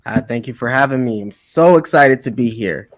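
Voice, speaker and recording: Young man, therapist, earnest and soft-spoken, dry close sound. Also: mid-range voice, normal speed, no room sound. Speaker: marriage and family therapist. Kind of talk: casual panel chat.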